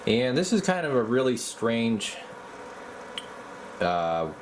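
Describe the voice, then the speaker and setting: A man giving a casual, hands-on repair explanation as a video host, in a halting, folksy way, as if thinking aloud. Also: mid-range voice, sing-song, slight background noise, poor audio.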